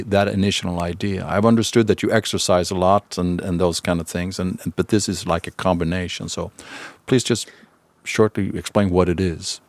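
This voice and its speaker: A man's voice: low-pitched, no echo. A man hosting a casual conversational interview, often repeating words as he forms his questions. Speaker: podcast host, interviewer.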